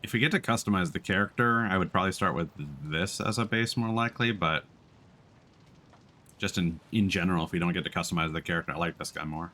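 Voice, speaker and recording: A man chatting casually as he live-streams a game, musing aloud in an unhurried way. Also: low voice, sharp tone.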